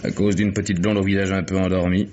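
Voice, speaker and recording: An actor playing a world-weary, sardonic male private detective in a 1950s French radio mystery, speaking deliberately with dramatic pauses. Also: low-pitched, level tone, a little mellow, lightly acted. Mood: bitter, weary. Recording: muffled vintage radio drama recording.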